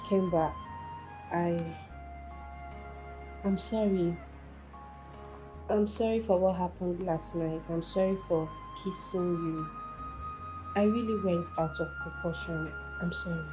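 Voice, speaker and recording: An actress playing a young woman who apologizes in a soft, hesitant way. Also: lowish voice, slow pace, long pauses, over music. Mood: apologetic.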